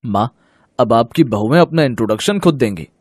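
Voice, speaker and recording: A man's voice: mid-range voice, expressive, fairly quick, a little mellow, breathy edge, no echo, clean studio sound. An actor playing an indignant, fair-minded family man in a Hindi moral story, earnest and emphatic. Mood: protesting. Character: indignant, fair-minded father and his grown sons.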